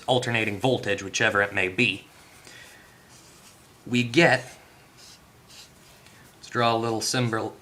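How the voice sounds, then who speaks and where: A man's voice: medium pitch, sharp tone. A man hosting a hands-on electronics tutorial, explaining casually and off the cuff, thinking aloud and correcting himself as he goes. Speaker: host of an electronics repair tutorial.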